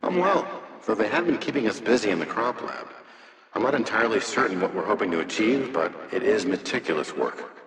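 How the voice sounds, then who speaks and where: An actor playing an anxious alien laborer haunted by dread, speaking in a guarded, earnest, formal way in video game dialogue. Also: male voice, lowish voice, expressive, brisk, fairly bright tone. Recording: echoey hall with long reverb.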